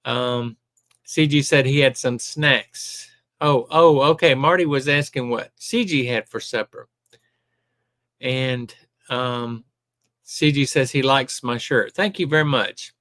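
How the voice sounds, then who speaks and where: A man's voice: mid-range voice, slow, fairly bright tone, no echo, clean studio sound. An older retired man from Alabama with a Southern accent, hosting a live stream and chatting casually as he reads viewer comments aloud with plenty of ums.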